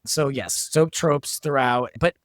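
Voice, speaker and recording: A man's voice: medium pitch, slow, dry recording, clean studio sound. A man in an interview, speaking conversationally and reflectively, as if thinking aloud about creative work. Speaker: podcast guest, audio drama writer and sound designer. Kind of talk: behind-the-scenes interview chat.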